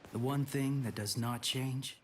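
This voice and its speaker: Man playing earnest young man, unhurried delivery. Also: medium pitch, fairly even intonation, a little hiss or hum, close to an everyday voice. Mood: earnest, brooding. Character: earnest, matter-of-fact young man who sees ghosts. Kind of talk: English-dubbed anime game acting.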